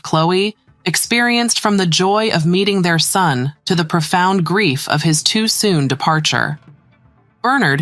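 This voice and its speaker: A woman's voice: deep voice, no echo. A woman reading scripted news headlines as a narrator.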